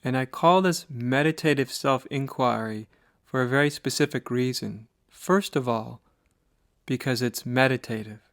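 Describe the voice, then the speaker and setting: An American man leading a guided meditation as a spiritual teacher, speaking in a measured, deliberate way with pauses between short phrases. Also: mid-range voice, dry recording, studio quality. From United States.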